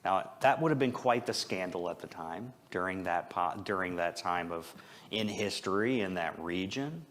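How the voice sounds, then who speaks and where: A man's voice: deep voice, animated, normal speed, a bit bright, a little hiss or hum. A man preaching an informal, conversational sermon as a pastor, with a joking, self-deprecating manner and rhetorical questions. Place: church service, speaking before a congregation.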